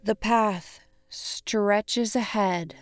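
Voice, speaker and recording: A woman narrating a guided imagery meditation in a gentle, soothing way, in short deliberate phrases with long pauses. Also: mid-range voice, steady tone, no echo. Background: instrumental music.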